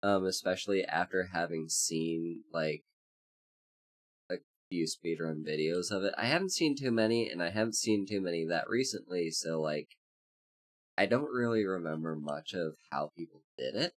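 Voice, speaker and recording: A VTuber streamer in a casual livestream chat, congested and hoarse, rambling with many fillers while greeting chat by name. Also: deep voice, moderate pace.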